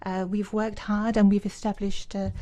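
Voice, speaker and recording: A woman interpreting live, dark and hesitant. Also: mid-range voice, flat delivery, moderate pace. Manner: hesitant, many fillers while keeping up with the speaker. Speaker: simultaneous interpreter. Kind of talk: live interpretation of citizen speeches.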